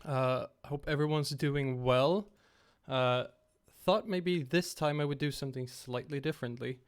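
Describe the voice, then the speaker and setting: A young man hosting a casual game-creation livestream from home on a headset, slightly raspy after being ill. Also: medium pitch, a little slow. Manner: relaxed, rambling, talks to chat.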